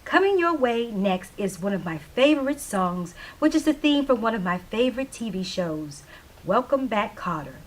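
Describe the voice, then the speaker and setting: A woman's voice: fairly high pitch, sing-song, moderate pace. A woman voicing an opinionated small-town radio DJ of the 1970s, speaking in an emphatic, preachy way in a stage play reading. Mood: upbeat.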